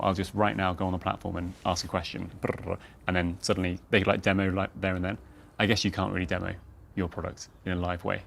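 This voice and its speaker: A man's voice: deep voice, creaky, slight background noise. A man hosting a casual interview, tentatively rephrasing his questions as he goes. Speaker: podcast host, interviewer.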